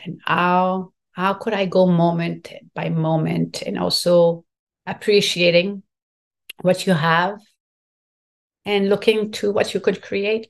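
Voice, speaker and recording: A middle-aged woman from Quebec with a French accent, speaking reflectively as a podcast guest and often filling pauses with "like, you know". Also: on the low side, vocal fry. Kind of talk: podcast interview conversation.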